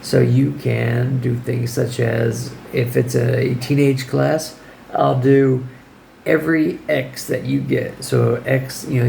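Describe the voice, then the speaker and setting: Hoarse, gravelly-voiced man giving teaching advice. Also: medium pitch, darkish tone, a little hiss or hum. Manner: informal, firm, checks in with "okay?". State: hoarse, losing his voice. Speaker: English teacher. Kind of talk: casual advice vlog.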